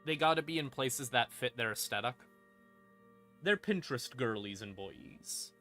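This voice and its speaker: Bright-voiced man explaining lore on stream. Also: medium pitch, animated, moderate pace. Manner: informal, sweary, emphatic. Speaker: live streamer, host. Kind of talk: casual lore explainer on a livestream. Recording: ambient music behind the voice. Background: creepy ambient music.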